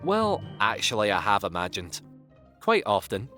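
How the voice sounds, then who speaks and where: A man playing an excitable, curious young male pegasus in a scripted audio drama, with an eager, chatty manner. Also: on the low side, normal speed, faint music behind, full character voice. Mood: musing, teasing.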